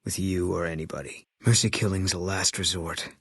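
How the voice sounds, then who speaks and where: A man voicing a grim, embittered military sniper in a video game, terse and guarded, with a husky voice. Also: low-pitched, fairly quick, no echo, studio quality, full character voice. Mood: curt, hostile. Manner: terse, guarded, flat, clipped delivery. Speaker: video game companion character. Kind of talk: game voice acting, terse dialogue lines.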